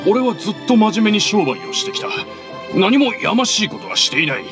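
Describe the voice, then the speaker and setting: An actor playing a shifty, defensive and gruff merchant in a Japanese video game, easily flustered and prone to stammering. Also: male voice, high voice, wildly swooping pitch, fairly quick, over music. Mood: flustered denial. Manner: stammering when cornered, gruff.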